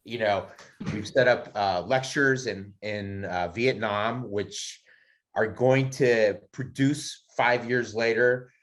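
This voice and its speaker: A man's voice: lowish voice, takes it slowly, fairly bright tone. A man introducing a colleague on a video call, enthusiastic and full of fillers. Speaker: co-host introducing the guest. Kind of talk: warm introduction.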